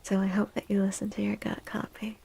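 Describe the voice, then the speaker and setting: A woman speaks very softly in an ASMR tarot-reader style, gentle and unhurried. Her voice is breathy and mellow, with a light creak at the edges, and it often slips into a whisper. She sounds reassuring throughout. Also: mid-range voice, monotone. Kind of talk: soft-spoken ASMR tarot reading.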